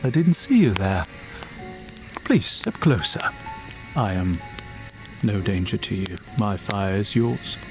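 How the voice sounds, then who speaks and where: A man playing a gentle, absent-minded mage in a fantasy ASMR roleplay, soft-spoken and unhurried, sometimes muttering. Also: lowish voice, sing-song, music in the background, heavily acted, low-quality recording. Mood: welcoming, hospitable. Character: gentle, absent-minded male mage, hospitable forest dream keeper.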